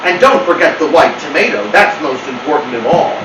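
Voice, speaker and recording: A man's voice: fairly high pitch, lively intonation, brisk, natural voice. A man voicing a bossy know-it-all pet cat, lecturing with insistent impatience. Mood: triumphant. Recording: roomy, distant mic, clear noise.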